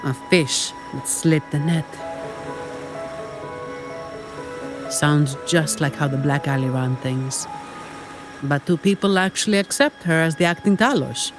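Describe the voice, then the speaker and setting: An actress voicing a cool, weary, dutiful young security operative in a video game, composed and dryly unimpressed. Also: female voice, low voice, normal speed, natural voice. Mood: guarded, questioning. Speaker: employee of a powerful family leading an operation. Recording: studio voice under game music.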